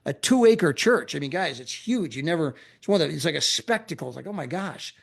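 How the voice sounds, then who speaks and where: Eager middle-aged man pitching travel. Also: fairly quick, creaky, slightly rough. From USA. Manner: animated, repetitive, chuckling asides. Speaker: travel club promoter. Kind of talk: enthusiastic sales pitch.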